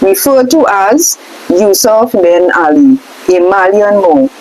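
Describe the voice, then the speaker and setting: A woman's voice: mid-range voice, a little mellow, rough recording. A woman reading aloud from a book in a question-and-answer format, sometimes restarting her phrases. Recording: faint hiss in background.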